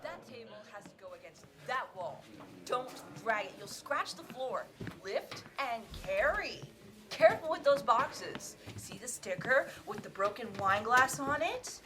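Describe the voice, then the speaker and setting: A woman's voice: a little high, expressive, a little slow, background noise. A woman playing a bossy, short-tempered theater manager who scolds and barks orders in an audio drama.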